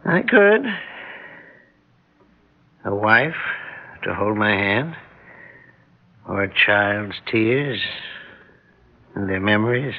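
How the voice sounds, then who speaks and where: An actor playing a lonely, wry man in his seventies, halting and wistful, in an old-time radio drama. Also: fairly low pitch, animated, exaggerated voice. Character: weary lonely old male medical researcher, wry and gentle. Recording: muffled vintage radio recording.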